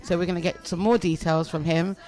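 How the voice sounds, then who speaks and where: A woman's voice: lowish voice, steady tone, a little fast, darkish tone, rough recording. A woman co-hosting a live local radio show, bubbly and chatty as she introduces guests. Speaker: radio co-host.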